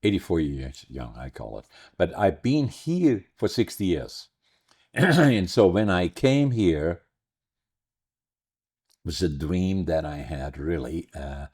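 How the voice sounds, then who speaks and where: A man's voice: sits low, very animated, slow pace, a little mellow, breathy edge. A man, hosting a podcast and telling stories in a rambling way. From Netherlands. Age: 80s. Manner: rambling, storytelling, self-correcting. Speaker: podcast host. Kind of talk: casual interview chat.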